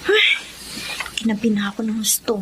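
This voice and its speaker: A woman's voice: on the high side, sing-song, normal speed, faint music behind, poor audio. An actress playing a steadfast, stubborn young Filipino mother who clings to her missing husband, in a radio drama. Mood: flustered, firm.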